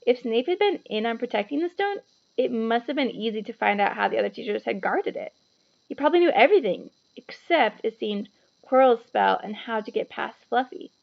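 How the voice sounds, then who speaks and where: A woman reading a children's novel aloud as an expressive storyteller. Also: fairly high pitch, brisk, vocal fry, highs cut off. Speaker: storybook reader.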